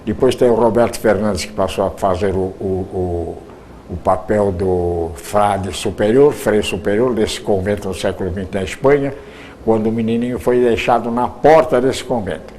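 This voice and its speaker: An older man, a retired radio producer, reminiscing in an interview, with halting speech full of repeated words and restarts. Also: fairly low pitch, warm tone, a little hiss or hum.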